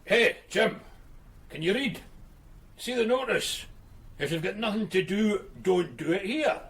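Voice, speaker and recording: A man's voice: high voice, expressive, measured pace, breathy edge, heavily acted. An actor playing a blunt, matter-of-fact male tattoo artist with a Glasgow accent. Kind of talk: radio drama acting.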